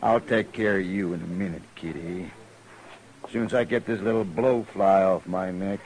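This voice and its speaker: A man's voice: deep voice, moderate pace, darkish tone, rough recording. An actor playing a menacing, bullying gunman in a 1950s Western radio drama, taunting and sneering as he talks. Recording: old radio drama with background crowd sounds.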